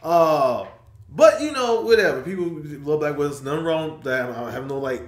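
Animated young man in an echoey room. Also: moderate pace, noisy background. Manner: animated, excitable, trails off into low mumbles. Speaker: reaction video host. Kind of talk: casual movie reaction chat. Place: small room indoors, seated.

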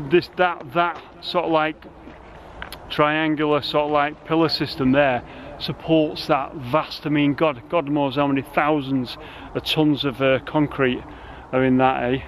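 Excitable middle-aged man exploring outdoors. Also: a little high, measured pace, a little hiss or hum. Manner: enthusiastic, rambling, full of exclamations. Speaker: urban explorer vlogger. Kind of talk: casual exploring vlog with narration. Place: outdoors inside a huge concrete cooling tower. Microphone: camera mic, handheld selfie framing.

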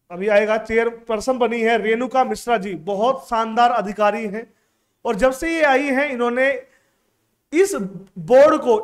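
A man. He explains things like a coaching teacher at the board, stressing and repeating the key points. He speaks into a headset mic. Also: extremely high pitch. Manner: emphatic, repeats key phrases. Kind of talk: explanatory lecture to camera. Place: indoor classroom studio in front of a digital board.

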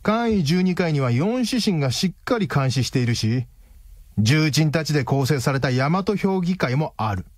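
Blunt clueless agent in a radio play, rough husky voice, deadpan lines. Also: male voice, a little high, moderate pace, dry recording, clean studio sound. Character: blunt, obtuse male agent, earnest and literal-minded. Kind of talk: comedic radio drama acting.

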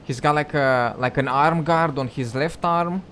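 A man's voice: on the high side, a little slow, fairly bright tone, a little hiss or hum. Young man doing casual game commentary. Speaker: gaming video host.

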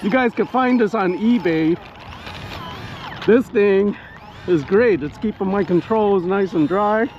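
A man with a high-pitched voice gives casual, excitable commentary while flying as a hobby pilot. He talks unhurriedly, addressing viewers as he goes. The outdoor recording is slightly muffled. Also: slow, pauses often. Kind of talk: casual live flight commentary. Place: outdoors at a flying spot in wind and rain. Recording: muffled outdoor audio with background noise.

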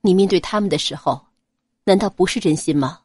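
A woman voicing a gentle, earnest young male prince in an audiobook, speaking softly and steadily in a lowered voice. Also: fairly quick, slightly rough, dry recording, studio quality. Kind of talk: audiobook character voice.

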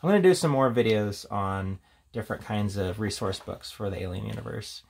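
A man's voice: lowish voice, a little fast, creaky, a little hiss or hum. A man giving a casual, unscripted, rambling commentary as a tabletop game reviewer, reading out headings between offhand remarks.

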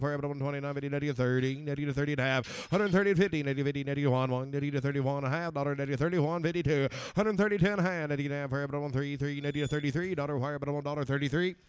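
A man calling a cattle auction in a rapid, rhythmic number chant. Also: a little high, smooth, no room sound. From United States. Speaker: livestock auctioneer. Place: indoor livestock sale barn.

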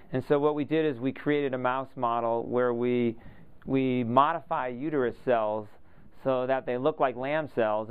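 A man's voice: medium pitch, clear, resonant tone, phone-quality sound. A middle-aged man, a research scientist, explaining his work in a thoughtful, earnest way in an office interview. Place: office indoors.

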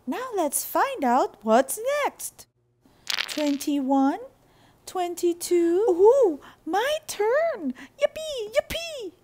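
A woman narrating and voicing toy characters for children in a bubbly, animated way, with drawn-out exclamations. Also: very high-pitched. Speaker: toy channel storyteller.

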